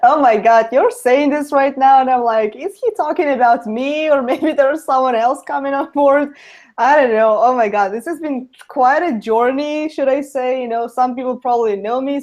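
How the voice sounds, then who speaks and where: A woman's voice: high-pitched, lively intonation, moderate pace, darkish tone. Young woman with a Russian accent. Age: young adult. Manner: laughs often, lots of "you know" fillers. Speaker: guest speaker. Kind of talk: casual webinar interview.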